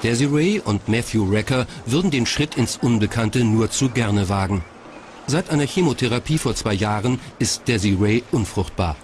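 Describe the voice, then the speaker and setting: A man's voice: mid-range voice, lively intonation, moderate pace, slight background noise. A man reading scripted documentary narration as a narrator.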